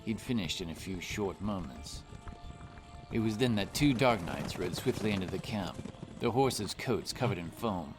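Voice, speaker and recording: A man's voice: low-pitched, lively intonation, normal speed. A man reading scripted fantasy story narration as the narrator of an audio drama. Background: music.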